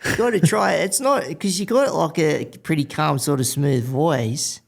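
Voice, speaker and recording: A man's voice: fairly high pitch, moderate pace, creaky. An Australian man hosting a casual chat, asking questions in an informal, blokey way with plenty of slang. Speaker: podcast host.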